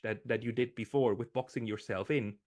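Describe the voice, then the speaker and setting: A man in a conversational political discussion, speaking haltingly and repeating words as he goes. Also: medium pitch, fairly even intonation, darkish tone.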